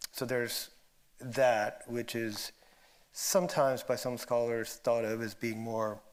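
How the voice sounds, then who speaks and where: Halting, thoughtful male moderator. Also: mid-range voice. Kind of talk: unscripted discussion question.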